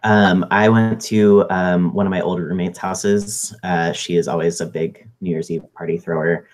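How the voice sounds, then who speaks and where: Man, thirty, very deep voice, casual co-host, measured, a few ums. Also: fairly even intonation. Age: 30s. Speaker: co-host and social work intern. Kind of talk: casual live-stream chat.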